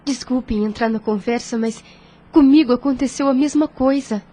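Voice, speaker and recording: A woman's voice: high voice, moderate pace, warm tone. A Brazilian woman playing an earnest, polite and sincere young daughter in an audio drama.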